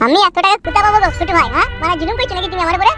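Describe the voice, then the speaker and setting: A man's voice: very high voice, very fast, over loud music. A man voicing an aggrieved married tenant in a village cartoon comedy, complaining indignantly.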